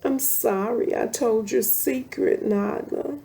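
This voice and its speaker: Woman as a young boy lion cub, high, pleading, loyal, earnest, storybook character. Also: takes it slowly, gravelly, dark tone, slight background noise. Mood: apologetic.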